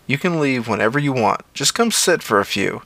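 Man acting an eager ex-boyfriend, gravelly, coaxing, insistent, dry audio fiction dialogue. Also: mid-range voice, sing-song, brisk, fairly bright tone, dry recording. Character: eager, persistent man pleading with a former lover.

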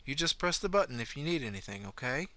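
A man's voice: medium pitch, sing-song, fast talker, bright, forceful tone, voice pushed up. A man voicing a chatty female nurse who fusses over her patients in a sweet tone. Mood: cooing. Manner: sweet, fussing tone.

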